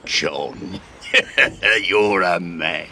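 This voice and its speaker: An actor playing a gruff, impatient, bloodthirsty pirate crewman, growling in old seafaring dialect in an audio drama. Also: a bass voice, unhurried, exaggerated voice. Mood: grimly amused. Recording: steady clear background noise.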